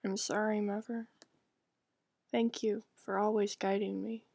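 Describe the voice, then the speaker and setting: A woman voicing a meek, guilt-ridden, devout young daughter who speaks softly and submissively in a visual novel. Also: medium pitch, flat delivery, measured pace, gravelly, dry recording. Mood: meek, apologetic. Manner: soft, submissive, apologetic.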